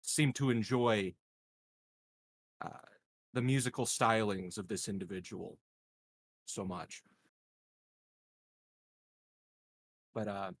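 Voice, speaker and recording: Deadpan, scheming male candidate played by a man. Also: lowish voice, measured pace, long pauses, dry recording. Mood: dryly teasing. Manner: hesitant, deadpan. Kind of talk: improvised tabletop roleplay.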